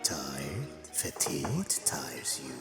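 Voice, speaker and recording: A man's voice: extremely low pitch, sing-song, slow, full character voice. A man voicing a cruel whispering spirit that taunts a woman from inside her head, in a radio play. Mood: menacing. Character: hissing male inner-voice spirit, cruel, relentless. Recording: roomy echo, loud music behind.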